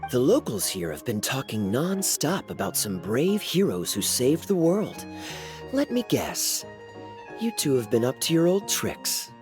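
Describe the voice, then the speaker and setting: Suave, theatrically swooping young knight. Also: male voice, medium pitch, huge pitch swings, measured pace, over music. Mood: impressed, teasing. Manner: smooth, playful, drawling. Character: suave, teasing young knight with a roguish streak. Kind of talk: anime-style video game voice acting.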